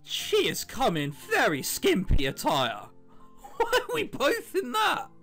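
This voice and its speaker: Let's player reading visual novel stuff out loud, really lively pitch, wry little asides, game music behind. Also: slow. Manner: self-interrupting, wry joking asides. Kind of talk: casual gameplay commentary mixed with reading visual novel text aloud.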